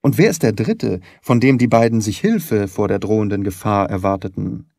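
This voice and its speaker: A man's voice: on the low side, normal speed, vocal fry, a little mellow, no room sound, clean studio sound. A man voicing a shrewd, self-assured detective who speaks precisely and courteously in an audiobook. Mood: analytical, self-assured. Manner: precise, probing, didactic. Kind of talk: audiobook character dialogue.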